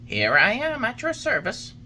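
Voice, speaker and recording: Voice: medium pitch, huge pitch swings, moderate pace, pushed and bright, slight background noise, pitched above the performer's own voice, full character voice. A reader voicing a touchy little old male carpenter who talks in a squabbling, defensive way. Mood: wry.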